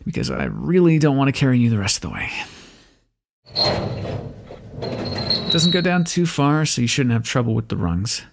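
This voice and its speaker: Male actor as weary, brusque scientist captor for audio roleplay; gravelly, dry delivery with a swinging pitch. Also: sing-song, fast, noisy background. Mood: terse, watchful. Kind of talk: ASMR roleplay monologue, intimate acting. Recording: long reverberant echo.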